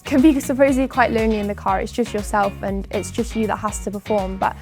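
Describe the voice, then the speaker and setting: A woman's voice: medium pitch, steady tone, quick pace, a little mellow, faint music behind. An eighteen-year-old woman racing driver speaking earnestly in interview soundbites.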